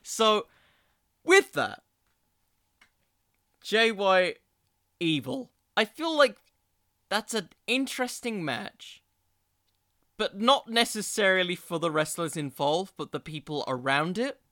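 A man with a bright, forceful voice, pitched a little high, hosts a casual podcast. His sound is crisp and dry, and he rambles as he thinks aloud, often poking fun at himself. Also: big pitch swings, slow pace, long pauses, dry recording. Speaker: podcast host. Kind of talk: casual wrestling commentary.

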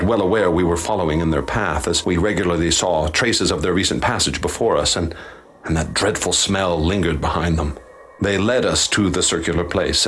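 A man narrating and acting as expedition leader in a radio drama, grave and measured. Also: low-pitched, a bit bright, slight background noise.

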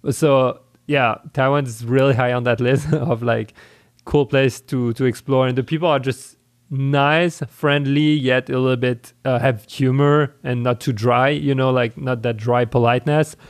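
A man's voice: medium pitch, fairly even intonation, unhurried. A man hosting a casual interview, friendly and rambling, often restarting his words. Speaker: podcast host.

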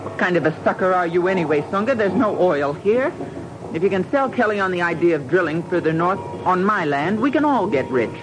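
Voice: mid-range voice, expressive, brisk, close to an everyday voice. An actor playing a greedy, cynical oil speculator in a 1950s radio drama, tough, sardonic and slangy. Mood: scornful. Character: brash, greedy, cynical oil lease holder. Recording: noisy old radio recording.

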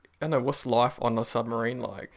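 A man hosts a relaxed podcast chat, his voice gravelly with a rough edge. He keeps his pitch fairly flat and level as he asks questions. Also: fairly quick. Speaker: podcast host. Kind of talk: casual interview.

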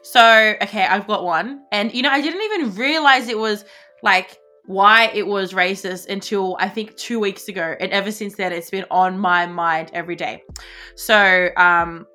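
A young woman with an Australian accent, co-hosting a podcast and telling a story in casual, animated chat full of fillers and swearing. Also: medium pitch, moderate pace. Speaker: podcast co-host.

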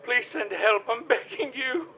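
A man's voice: extremely high pitch, lively intonation, unhurried, full character voice. A man acting as a frantic, flustered caller in an audio comedy drama, stammering and repeating himself over a muffled phone line. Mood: panicked, pleading. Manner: stammering, repetitive, apologetic. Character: frantic, flustered, easily panicked male caller under siege.

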